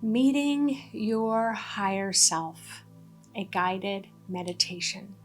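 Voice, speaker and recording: A woman leading a guided meditation in a gentle, deliberate way, pausing between short phrases. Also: mid-range voice, steady tone. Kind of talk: guided meditation, soothing narration. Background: background music.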